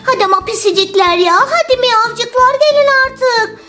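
A woman's voice: very high-pitched, lively intonation, moderate pace, sharp tone, soft background music, exaggerated voice. A woman voicing an eager, whiny little girl who pleads and complains in a children's toy story. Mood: whiny, impatient.

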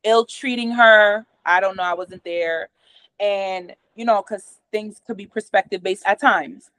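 A woman's voice: medium pitch, measured pace, no echo, rough recording. A middle-aged woman whose first language is patois, speaking English with a patois accent as a host, explaining therapy ideas steadily over a video call from a small room at home. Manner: explanatory, steady, gestures a lot with her hands. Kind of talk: casual conversation explaining therapy methods. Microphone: video call from a computer camera.

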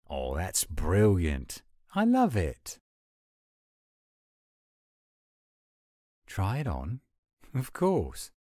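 A man voicing an affectionate, gruff-but-sweet werewolf boyfriend in a tender, intimate romantic audio roleplay. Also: fairly low pitch, mellow, no echo, clean studio sound, heavily acted. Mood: delighted.

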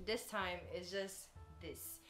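Woman, 20s, casual vlogger, mid-pitched voice, chatty and playful, talks straight to viewers. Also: fairly even intonation, slow pace, fairly bright tone, soft background music. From Ghana. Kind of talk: casual vlog chat to camera. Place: bedroom, indoors.

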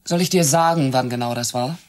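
An actress in a radio play, playing a bitter, jealous secretary who speaks in a reproachful, hesitant way. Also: female voice, extremely low pitch, a little mellow. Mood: accusing. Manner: sarcastic, reproachful, hesitant pauses. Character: bitter, jealous secretary and former mistress of her boss. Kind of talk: naturalistic German radio play acting.

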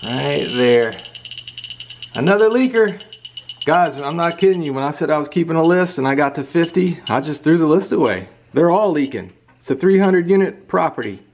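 A man's voice: a little high, lively intonation, moderate pace, darkish tone. A man working as an HVAC technician, explaining his steps in a casual, chatty tutorial while he works. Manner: laid-back, chatty, talking while working. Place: outdoors beside an AC unit, later indoors.

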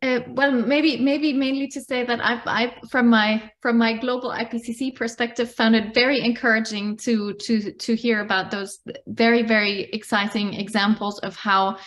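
A woman's voice: a little high, steady tone, fairly bright tone. Hesitant woman answering on a panel. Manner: hesitant, halting with repeated words. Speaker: panelist, climate scientist. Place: indoors, on a video call. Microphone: video call microphone.

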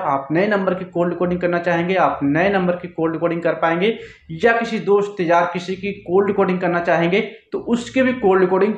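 Male tech tutorial host, explains step by step and repeats himself; dull, echoey indoor recording. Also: a little high. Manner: repetitive, instructional phrasing. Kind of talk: step-by-step phone tutorial to camera. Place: small indoor office, seated. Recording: echoey room, dull muffled sound.

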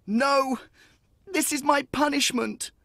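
A woman's voice: sits high, takes it slowly, a bit bright, no echo, studio quality, full character voice. A woman voicing a proud young boy in a storybook audiobook, sneering and scornful. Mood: remorseful. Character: proud young boy, vain and cruel, later remorseful. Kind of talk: audiobook character acting.

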